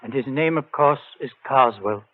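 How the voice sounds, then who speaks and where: An actor playing a troubled, reserved bereaved brother in a 1940s radio drama, speaking in a measured, formal, understated way. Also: male voice, medium pitch, natural voice. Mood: grave, measured. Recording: narrow, tinny 1940s radio broadcast.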